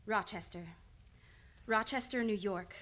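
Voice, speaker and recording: A woman's voice: mid-range voice, unhurried, natural voice. A woman playing a composed, earnest psychoanalyst in an old-time radio play, probing and insistent with a patient she cares for. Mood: urgent. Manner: insistent, probing, clinical yet affectionate. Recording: live radio play with background noise.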